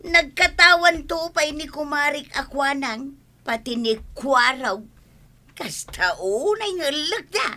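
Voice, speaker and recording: A woman's voice: sits high, animated, slow, sharp tone, full character voice. A woman with an Ilocano accent playing a pushy, nagging village wife in a comedy radio drama. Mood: coaxing.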